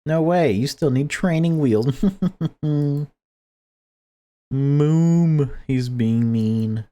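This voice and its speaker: A man voicing excitable, bickering young children in a visual novel. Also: a little high, drawn-out words, voice pushed up. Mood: excited, squabbling. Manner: eager, bickering.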